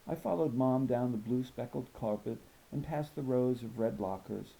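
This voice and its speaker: A man's voice: mid-range voice, moderate pace, vocal fry, warm tone, lightly acted, rough recording. A man voicing an observant, bookish, slightly nervous fifth-grade girl narrating her story in a precise, thoughtful way. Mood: thoughtful, uneasy. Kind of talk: first-person children's novel narration.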